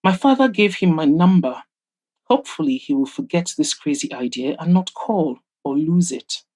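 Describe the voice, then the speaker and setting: A woman's voice: low-pitched, animated, moderate pace, mellow. A woman voicing a proud, guarded woman in an audio drama, speaking in pointed, formal, exasperated phrases. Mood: resigned. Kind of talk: audio drama character dialogue.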